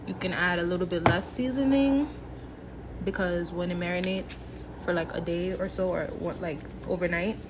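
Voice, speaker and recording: A woman's voice: mid-range voice, noisy background. A woman giving a casual, playful cooking tutorial, chatting to viewers informally as if they were friends. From Haitian background. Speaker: home cook, recipe vlogger.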